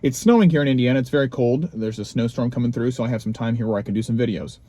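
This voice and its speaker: A man's voice: medium pitch, racing, dark tone, no room sound. A young man hosting his own video, chatting casually outdoors in the snow, rambling with lots of ums and restarts. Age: young adult. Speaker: vlog host. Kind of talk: casual chat. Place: outdoors in snowy cold.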